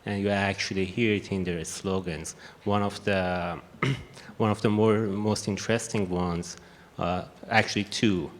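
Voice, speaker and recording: A man with a strong Persian accent talks on a conference panel, low and steady in pitch. He hesitates often and restarts his sentences. The sound carries the long echo of a hall, with a faint hiss behind it. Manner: halting, many restarts and fillers. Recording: echoey hall with faint noise.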